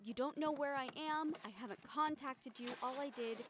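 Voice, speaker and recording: A woman's voice: high voice, moderate pace, noisy background, natural voice. A woman acting a weary, sardonic, stubborn young newspaper reporter in an intimate audio roleplay, talking in a casual, wry, sweary way. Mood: firm, pleading.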